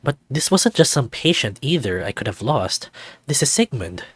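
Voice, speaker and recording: A man's voice: mid-range voice, big pitch swings, normal speed, slightly rough, no room sound. A man voicing an earnest, affectionate young male deer in a visual novel, sincere and plain-spoken. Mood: tender, reflective.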